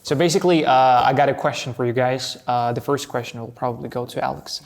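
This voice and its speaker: Male host, mid-pitched, loose and laughing, casual podcast chat. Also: fast, vocal fry. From Kazakhstan. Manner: laughs often, loose and informal.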